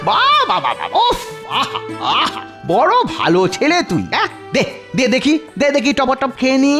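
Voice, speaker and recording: A woman's voice: sits high, wildly swooping pitch, moderate pace. A woman voicing a sly, greedy male celestial bookkeeper in a cartoon, scheming and repeating words. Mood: greedy delight. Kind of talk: children's cartoon voice acting. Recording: music behind the voice.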